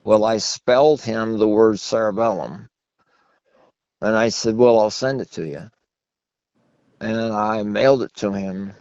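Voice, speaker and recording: A man's voice: unhurried, pauses often, darkish tone, dry recording. A man being interviewed by phone as an author, talking in a long-winded, anecdotal, self-deprecating way. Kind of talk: rambling phone interview conversation. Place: phone call. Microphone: telephone. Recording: muffled low-fidelity phone line.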